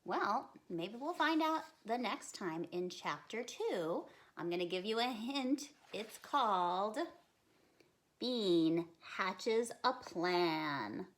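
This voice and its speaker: A woman reads a children's story aloud at an unhurried pace. Her pitch is lively and varied, and she speaks straight to her young listeners as a read-aloud host. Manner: addresses young children directly, animated. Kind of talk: expressive storybook narration for children.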